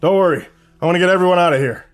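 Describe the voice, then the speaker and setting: Male storyteller doing a loud goofy sidekick, jokey, a bit high, for a spoken horror story. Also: fast, soft background music, lightly acted. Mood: brash. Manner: brash, jokey, energetic.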